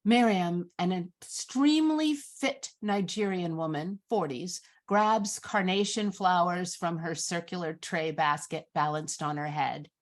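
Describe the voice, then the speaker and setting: A woman reading screenplay directions as scripted narration in a measured, descriptive manner. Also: fairly low pitch.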